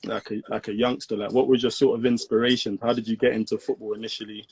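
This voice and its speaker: English man, live-stream host, husky tone, easygoing "bro" chat. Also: on the low side, little pitch movement, fast. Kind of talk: casual interview on a live stream. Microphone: video call.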